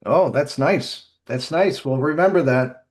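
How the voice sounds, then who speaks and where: A man's voice: on the high side, a little slow. A man casually moderating a panel as a podcast host, introducing guests and asking questions.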